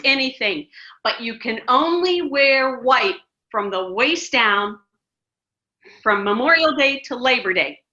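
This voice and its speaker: A woman's voice: high-pitched, bright, forceful tone. A middle-aged American woman, a ministry director, talking emphatically as a guest in an interview, with many restarts. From United States. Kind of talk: casual interview conversation. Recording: muffled lo-fi sound.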